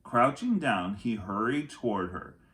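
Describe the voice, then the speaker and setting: Animated, low-voiced male storyteller. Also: a little slow. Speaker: read-aloud storyteller. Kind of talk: expressive children's chapter book narration.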